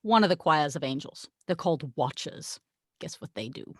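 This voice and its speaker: A woman's voice: deep voice, sing-song, moderate pace, dry recording, clean studio sound. A woman reading the part of a haughty, sarcastic angel in a script table read, with a wry, exasperated, condescending manner. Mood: wry storytelling. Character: haughty, sarcastic tequila-loving angel, the voice of God.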